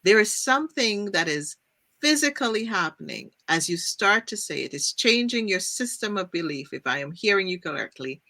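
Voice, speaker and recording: A woman's voice: medium pitch, pushed and bright. A woman from Trinidad hosting a faith-based talk show, speaking earnestly and thinking aloud. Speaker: podcast host. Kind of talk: casual faith-based conversation.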